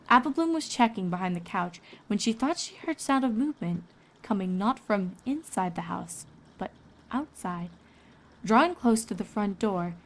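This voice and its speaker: A woman's voice: mid-range voice, slight background noise, rough recording. A woman reading scripted story narration as a fan fiction reader.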